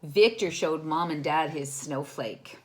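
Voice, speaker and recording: A woman's voice: sits low, a little slow. A woman teacher doing a storytime read-aloud, talking encouragingly and directly to young children and asking them questions.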